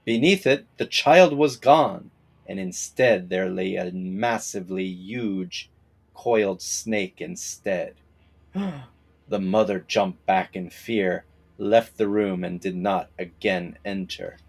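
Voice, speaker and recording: A man narrates a folktale from a script. His voice sits on the low side with plenty of gravelly fry, and he tells it in a measured, dramatic storyteller's way.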